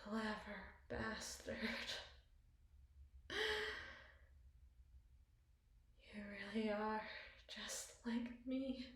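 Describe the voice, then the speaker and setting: A woman's voice: fairly high pitch, darkish tone. A woman playing a possessive, cunning evil queen in an ASMR roleplay, speaking in ornate, theatrical monologue to a spouse. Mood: bitter admiration. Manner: ornate, eloquent, dramatic phrasing. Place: small bathroom, indoors. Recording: very echoey tiled bathroom.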